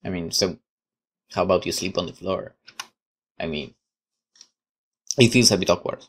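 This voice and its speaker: Woman as a low-voiced, awkward young soldier. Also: normal speed, slightly rough. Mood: awkward, hesitant. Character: naive, awkward, earnest young male soldier.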